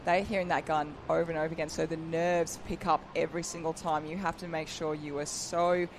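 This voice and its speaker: A man's voice: fairly high pitch, little pitch movement, a little fast, background noise. Enthusiastic male expert co-commentator. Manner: enthusiastic, explains technique, chatty. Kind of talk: live sports commentary.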